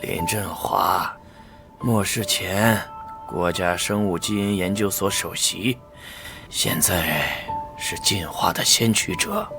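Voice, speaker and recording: An actor playing a fanatical, slippery mad scientist villain in an animated drama, with theatrical delivery. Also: male voice, low voice, slow pace, creaky, full character voice. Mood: smug, gloating. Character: smug fanatical male mad scientist villain, cowardly when threatened. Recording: loud background music.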